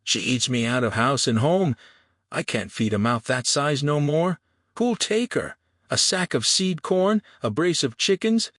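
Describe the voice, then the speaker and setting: Blustering carnival-barker stepfather for a western audiobook, acted by a male reader: raised pitch, loud hard sell. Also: expressive, measured pace, no echo, studio quality. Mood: blustering, pushy. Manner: loud, pushy sales pitch.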